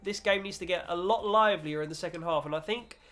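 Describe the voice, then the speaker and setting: A man's voice: high voice, fast, fairly bright tone, soft background music. A man hosting a gaming video with casual, chatty football commentary in informal British slang, slightly nasal from a cold.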